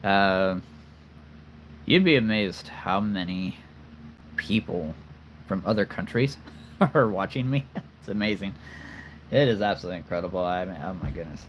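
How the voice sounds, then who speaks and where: A man's voice: deep voice, lively intonation, moderate pace, pauses often, slight background noise, poor audio. A man hosting a casual livestream, talking earnestly and rambling with many fillers and restarts. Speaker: collectible figure channel host.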